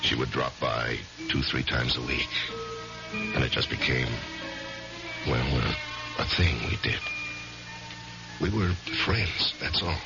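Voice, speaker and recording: An actor playing a troubled married lawyer in a 1970s radio drama, speaking in a hesitant, halting way. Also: male voice, rumbling low, vocal fry, over music, heavily acted. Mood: brooding, confessional. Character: troubled married male lawyer narrating his guilty downfall. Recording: noisy, muffled 1970s radio recording.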